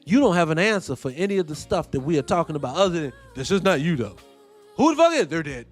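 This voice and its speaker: A young man rants on his talk show, swearing freely and jumping between heated points and side stories. His voice sits a touch high for a man, with a faint music bed behind him. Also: fast. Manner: profane, animated, ranting with tangents. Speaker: host. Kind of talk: heated casual commentary.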